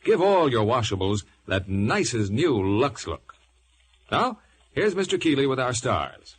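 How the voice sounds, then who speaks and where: A man's voice: medium pitch, sing-song, measured pace, breathy edge. A man announcing and reading advertising in 1950s radio style. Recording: noisy vintage radio broadcast.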